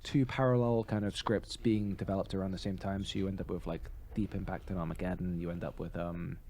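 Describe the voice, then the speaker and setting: A man's voice: low-pitched, steady tone, a little fast, a little mellow. A man co-hosting a podcast, chatting casually about film and TV. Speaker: podcast host. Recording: faint background noise.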